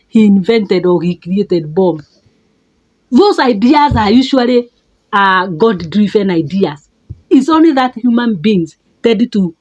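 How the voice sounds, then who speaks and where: A middle-aged Kenyan woman with a Kenyan accent, giving an emphatic motivational talk as a life coach, repeating words and leaping up in pitch for stress. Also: darkish tone, highs cut off. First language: a Kenyan mother tongue.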